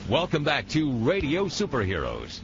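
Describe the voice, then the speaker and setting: Casting: male radio host, animated lightish voice, music bed, slightly dull recording. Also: mid-range voice, normal speed, gravelly. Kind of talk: scripted promotional narration.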